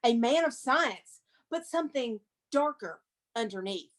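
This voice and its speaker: High-voiced woman narrating theatrically. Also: animated, unhurried. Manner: dramatic, emphatic. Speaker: museum curator presenting and reading stage directions.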